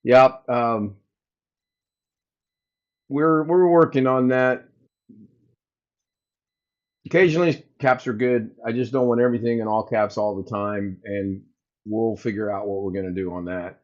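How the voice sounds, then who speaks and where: A man with a booming voice hosts a relaxed livestream, rambling to his viewers as he works out technical problems on the fly. His voice sits at an ordinary middle pitch, and the sound is a little dull and muffled. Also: measured pace, pauses often. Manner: rambling, thinks aloud while fixing tech. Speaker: livestream host. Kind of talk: casual live chat with viewers.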